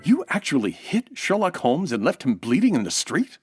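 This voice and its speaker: An actor playing an incredulous gentleman in a comedy audio drama. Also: a little high, wildly swooping pitch, moderate pace, over loud music.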